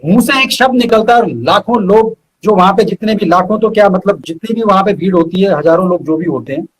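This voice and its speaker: A man's voice: sits high, fairly quick, a little hiss or hum, poor audio. A man, a poet being interviewed, speaking earnestly and reciting with emphatic repetition. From India.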